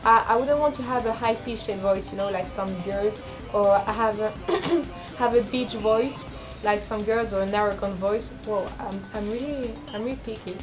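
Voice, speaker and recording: A young woman with a low speaking voice, rambling and self-deprecating in a casual confessional vlog, full of fillers and restarts. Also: normal speed, hardly any pauses, music in the background. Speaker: vlogger.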